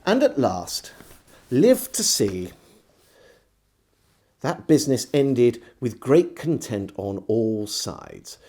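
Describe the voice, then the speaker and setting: A male storyteller narrates an old diary. His pitch is middling but moves animatedly up and down through each sentence. He phrases expressively, pausing with care like an audiobook dramatist. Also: big pitch swings, mellow. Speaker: storyteller reading a historical diary aloud.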